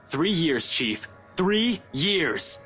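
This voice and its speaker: A man voicing a young, determined, hot-headed police detective in a visual novel, insistent and quick to argue. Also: medium pitch, animated, fairly bright tone, soft background music, lightly acted. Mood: indignant, frustrated. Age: young adult. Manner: insistent, argumentative, swearing.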